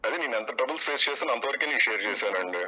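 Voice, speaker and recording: A man's voice: mid-range voice, expressive, crowd noise, poor audio. A man answering interview questions spontaneously, defensive and evasive, often repeating himself. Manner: defensive, evasive, halting repetitions.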